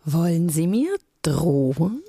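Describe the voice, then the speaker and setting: An actress playing a cool, combative senior official in a radio drama, speaking formally and pointedly. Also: female voice, deep voice, sing-song, takes it slowly, warm tone, slightly rough, no room sound, studio quality, full character voice. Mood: confrontational.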